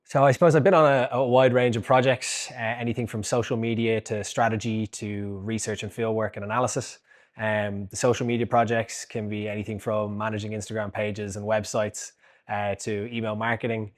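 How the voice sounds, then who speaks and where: Young man, low pitch, level delivery, reflective, student testimonial. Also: flat delivery, fairly quick. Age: 20s. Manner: reflective, with frequent "uh" fillers. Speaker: graduate student.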